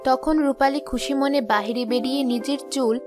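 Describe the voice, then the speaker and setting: Female storyteller: higher-pitched voice, scripted tale narration, music bed throughout. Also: fairly even intonation, fairly quick.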